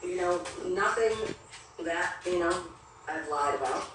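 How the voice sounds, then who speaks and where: A woman's voice: on the low side, unhurried, fairly bright tone. Distant echoey woman with noisy sound. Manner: hesitant, repeats words. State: hot and sweaty. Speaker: TikTok commentator. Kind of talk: casual commentary on drama.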